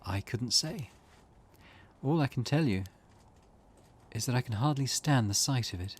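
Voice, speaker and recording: A man voicing a somber, grieving mother, expressive. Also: mid-range voice, measured pace, husky. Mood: sorrowful, bitter. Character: grieving, reserved, bitter mother of a lost son. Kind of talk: reading visual novel dialogue aloud.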